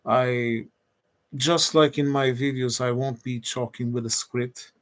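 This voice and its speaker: A man in his forties from Portugal with a Portuguese accent, talking without a script on a solo livestream and pausing often to restart his phrases. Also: medium pitch, steady tone, fairly bright tone. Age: 40s. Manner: hesitant, pauses often with restarts and fillers. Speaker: streamer. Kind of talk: unscripted solo livestream chat.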